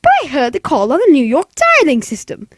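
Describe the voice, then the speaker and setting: High-strung, fretful invalid wife. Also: female voice, very animated, brisk, husky, no room sound, studio quality, full character voice. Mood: agitated, pleading. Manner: fretful, melodramatic, stammering. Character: anxious, demanding, self-pitying bedridden invalid wife. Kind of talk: 1940s radio play acting.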